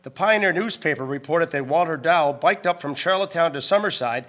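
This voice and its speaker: Radio drama supporting role: well-informed, agreeable 1890s store man, male actor, slightly high and bright, informative delivery. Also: brisk, close to an everyday voice. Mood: impressed. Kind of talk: community radio drama acting in early live radio manner.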